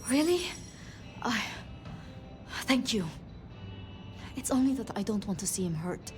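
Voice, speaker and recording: Anxious idealistic female vampire, high-ish voice, slow halting delivery, earnest, polite, video game. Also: lively intonation, darkish tone, faint music behind. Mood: pleading. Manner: hesitant, earnest, flustered, polite.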